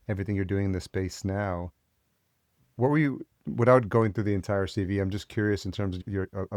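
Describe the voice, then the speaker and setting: Deep-voiced male podcast host. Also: a little mellow, clean tone, no echo, studio quality. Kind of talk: interview podcast.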